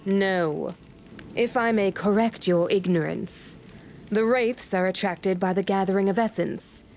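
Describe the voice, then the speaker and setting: A haughty, pious high priestess from a video game. She talks slowly and with measured condescension, with faint ambient noise behind her. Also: gravelly, a little mellow. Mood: lofty.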